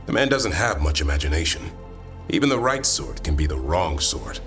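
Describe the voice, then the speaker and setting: An actor playing a wry, earnest warrior of an honorable fantasy order in a video game, with dry, measured delivery. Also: male voice, extremely low pitch, sing-song, over music. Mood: wry, relaxed.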